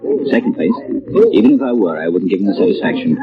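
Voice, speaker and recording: A man's voice: low voice, fast talker, close to an everyday voice. An actor playing a wealthy young man about town in a radio drama, urbane, dry and skeptical. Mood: stubborn, skeptical. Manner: dry, sardonic, relaxed banter. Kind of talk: 1940s radio acting. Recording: tinny old radio, crowd noise behind.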